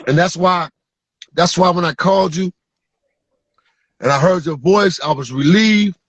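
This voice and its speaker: A man's voice: sits high, measured pace, dry recording. A man hosting a podcast in casual street slang, pressing his points and asking if the listener follows him. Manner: emphatic, street slang, asks "you feel me". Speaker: podcast host.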